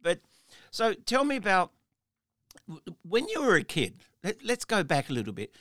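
A bright, fairly high-voiced man hosts a relaxed interview. He circles his questions with restarts and chuckles, and the sound is dry and close. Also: normal speed, no echo. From Australia. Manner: rambling questions with frequent restarts and laughs. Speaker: interview host. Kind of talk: casual conversational interview.

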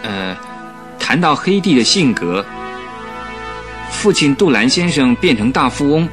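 An actor in a radio drama playing a vain young English aristocrat, affected and pompous but good-natured underneath. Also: male voice, medium pitch, big pitch swings, measured pace, music in the background. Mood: earnest, pompous. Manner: affected, pompous, easily flustered. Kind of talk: Chinese radio drama acting.

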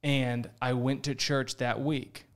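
Guest, male, 20s, earnest storyteller, smooth with some fry. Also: mid-range voice, little pitch movement, slow, a bit bright. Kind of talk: casual testimony on a podcast.

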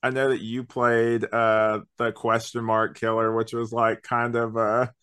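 A man speaks at a middle pitch in a casual podcast chat. He is opinionated and rambles through his points in loose, slangy language, like a co-host reviewing with a friend. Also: monotone, a little slow, fairly bright tone, clear, resonant tone.

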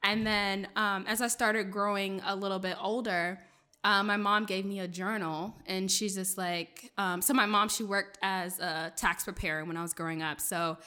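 Young woman, journalist, raised in Maryland, first language French; bright tone, loose conversational delivery with fillers. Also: medium pitch, fairly even intonation, moderate pace, clean tone. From Maryland, USA. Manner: chatty, many fillers, laughs often. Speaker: journalist, guest. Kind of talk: casual interview storytelling.

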